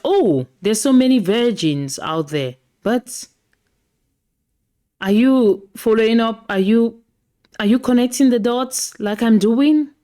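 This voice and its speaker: A woman's voice: medium pitch, mellow. A woman hosting a podcast, reacting to clips in a casual, chatty way and often repeating herself. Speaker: podcast host.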